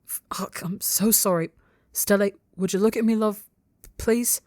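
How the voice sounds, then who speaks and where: A woman's voice: fairly low pitch, a little slow, no room sound, close to an everyday voice. A hot-tempered young man full of guilt, acted by a woman in a dramatic storybook reading. The speech stammers and restarts with raw urgency. The recording is close and dry. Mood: distressed, pleading. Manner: stammering, broken restarts, swearing. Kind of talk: dramatic audiobook-style character dialogue.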